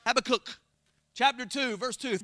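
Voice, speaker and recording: A pastor with a Southern Florida accent preaching a sermon, folksy and calling out to the congregation for responses. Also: male voice, extremely high pitch, moderate pace, pushed and bright, no echo, clean studio sound. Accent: Floridian Southern American. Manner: call-and-response, folksy, rising to emphatic exclamations.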